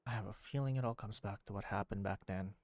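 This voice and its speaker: A man's voice: fairly low pitch, quick pace, a little mellow, no room sound. A man giving casual live-stream game commentary, musing and thinking aloud.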